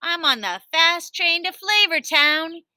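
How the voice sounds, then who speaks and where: A woman voicing an exuberant male celebrity TV chef in a storybook, raving about food in drawn-out exclamations. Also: lively intonation, sharp tone, no room sound, full character voice. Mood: excited raving. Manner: booming catchphrases, drawn-out exclamations.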